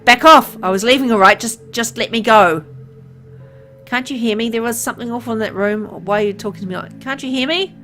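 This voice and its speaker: A woman voicing a gravely ill man who is turning into a hungry vampire, reading the first-person game text aloud in her own plain voice, with game music underneath. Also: on the high side, brisk, sharp tone, pitched above the performer's own voice. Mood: agitated.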